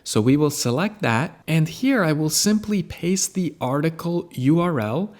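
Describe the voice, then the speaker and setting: A man narrating a software tutorial in a casual, step-by-step way as he demonstrates on screen. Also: on the high side, animated, measured pace.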